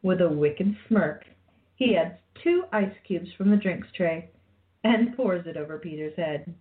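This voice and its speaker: A woman's voice: lowish voice, animated, a little slow. Woman narrator, tinny phone-like recording. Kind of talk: scripted radio play narration. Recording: tinny phone-like sound, faint music behind.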